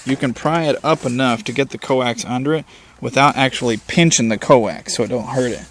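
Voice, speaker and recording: A man's voice: mid-range voice, brisk, slight background noise. A man giving a casual, unscripted hands-on installation demonstration, explaining step by step in plain, colloquial words. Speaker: presenter of a hands-on installation guide.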